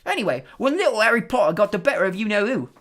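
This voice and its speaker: A reader voicing Stan, a chatty young male bus conductor who gossips loudly in working-class London speech. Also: medium pitch, very animated, rapid-fire, voice pushed up. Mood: excited gossip.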